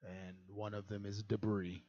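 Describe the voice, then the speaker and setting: Brief: young male streamer, low voice, loose and self-deprecating, slightly muffled stream audio. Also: level tone, unhurried, mellow. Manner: rambling, chuckling, self-deprecating. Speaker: game modder and streamer. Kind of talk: casual livestream chat.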